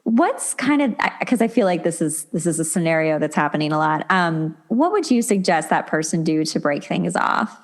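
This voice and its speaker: A woman's voice: fairly low pitch, expressive, normal speed, few pauses. A middle-aged woman hosting a podcast, talking casually and conversationally with an effusive, enthusiastic manner. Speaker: podcast host.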